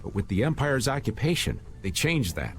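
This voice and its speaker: Game know-it-all adventurer played by a man, middle pitch, lively intonation, easygoing, slight background noise. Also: moderate pace, lightly acted. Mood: casual, informative. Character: worldly, knowledgeable male adventurer, easygoing explainer. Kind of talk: video game voice acting, exposition.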